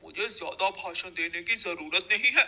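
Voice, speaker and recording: A woman's voice: fairly low pitch, moderate pace, bright, forceful tone, voice pushed down, exaggerated voice. A woman voicing a lazy, scheming male crow in a cartoon story, whining and self-justifying. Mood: defiant.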